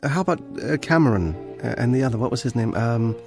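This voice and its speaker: An actor playing a mild, wryly dignified condemned librarian with polite, literate speech in a radio drama. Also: male voice, medium pitch, lively intonation, darkish tone, soft background music, close to an everyday voice. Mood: polite, musing. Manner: polite, literate, measured with hesitant musing. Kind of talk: old-time radio drama acting.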